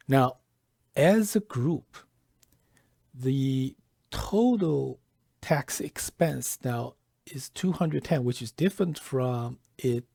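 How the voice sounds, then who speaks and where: A man presenting a software tutorial step by step, halting and deliberate, often pausing mid-phrase. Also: mid-range voice, creaky, no room sound, studio quality. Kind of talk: step-by-step tutorial narration, lightly scripted.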